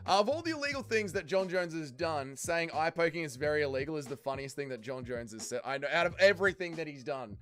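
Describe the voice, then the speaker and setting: A man's voice: a little high, lively intonation, fast, hardly any pauses, a bit bright. A young man, hosting a casual livestream and bluntly arguing with chatters he reads out. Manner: heated, blunt, calls chatters trolls. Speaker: livestream host reading chat.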